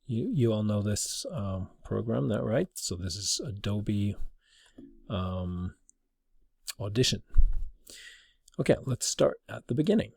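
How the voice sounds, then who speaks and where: A man with a low voice teaches through an informal demonstration. He explains in a halting, hesitant way, and the recording is dry and free of echo. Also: lively intonation, creaky, darkish tone, slightly rough. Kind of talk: informal screen demonstration for a class.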